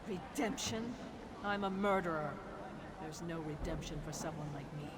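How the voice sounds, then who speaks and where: An actress playing a hard, devoted assassin with a dark past in a fantasy game, speaking intensely and dramatically. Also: female voice, deep voice, normal speed, close to an everyday voice. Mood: bleak. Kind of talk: scripted fantasy game dialogue. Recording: loud ambient noise behind.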